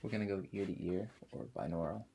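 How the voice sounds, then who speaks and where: A man doing an ASMR roleplay as a spa attendant, addressing a client in a hesitant, rambling, unhurried manner. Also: deep voice, gravelly, dark tone, a little hiss or hum.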